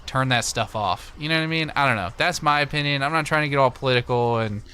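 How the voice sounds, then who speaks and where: A man's voice: medium pitch, fast, bright, forceful tone, smooth. An American man from Missouri hosting a livestream, chatting casually and giving his opinions as he answers viewers on a close desktop microphone. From Missouri, United States. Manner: conversational, opinionated. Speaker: livestream host. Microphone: close desktop USB microphone.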